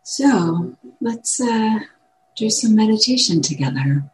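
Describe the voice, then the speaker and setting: A woman meditation teacher guiding a meditation in gentle, measured short phrases with long pauses. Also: mid-range voice, expressive, low-quality recording. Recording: clear background noise, room ambience.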